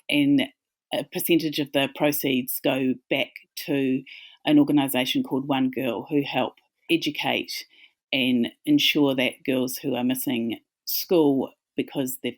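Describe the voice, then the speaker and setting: A woman with a New Zealand accent, a company founder talking as a guest in a casual, friendly interview, with small restarts. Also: extremely low pitch.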